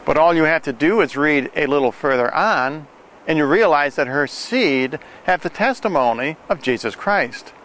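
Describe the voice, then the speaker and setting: Casting: older male prophecy preacher, slightly high pitch, forceful bright tone, deliberate and emphatic delivery. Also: expressive, a little hiss or hum. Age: older, 60s-70s. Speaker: video preacher, host of a prophecy program. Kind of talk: earnest scripted religious monologue to camera.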